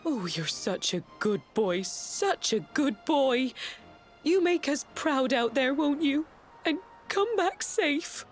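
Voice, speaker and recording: A woman's voice: sits high, sing-song, takes it slowly, faint music behind, exaggerated voice. A woman playing a proud, doting, emotional village mother in a video game, fussing affectionately. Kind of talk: scripted video game voice acting.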